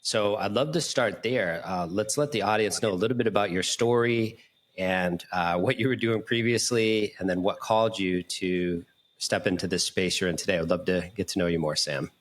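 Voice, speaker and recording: A man with a fairly low voice hosts an interview podcast. He talks in an earnest, encouraging manner and is effusive with his listeners and guest. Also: moderate pace. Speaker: podcast host. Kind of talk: podcast intro and interview talk.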